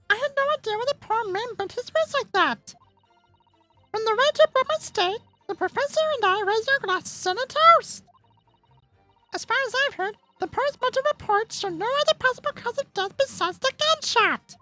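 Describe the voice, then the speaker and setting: A streamer voicing a haughty young English gentlewoman from a video game in a squeaky cartoonish voice, prim and condescending. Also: expressive, normal speed, faint music behind, exaggerated voice, rough recording. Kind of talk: dramatic read of a video game script.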